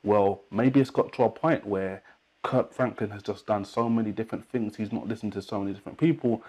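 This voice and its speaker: Earnest man with a low, dry voice. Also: fairly even intonation, brisk, a little mellow, slightly rough, no echo. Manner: earnest, explanatory, leans heavily on "basically". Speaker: channel host. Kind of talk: Bible-based commentary on a news story. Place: indoor room, talking to camera.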